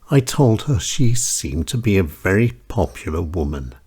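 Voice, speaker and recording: A man reading his own short stories as a scripted narration, in deliberate, emphatic short phrases. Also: low-pitched, darkish tone, breathy edge.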